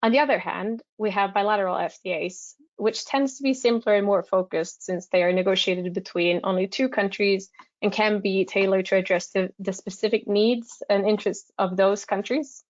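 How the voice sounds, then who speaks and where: A woman with a slightly hoarse voice from a cold, giving a formal, prepared webinar presentation. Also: medium pitch, fairly even intonation, moderate pace, no room sound. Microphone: online webinar call. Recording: dry, dull webinar audio.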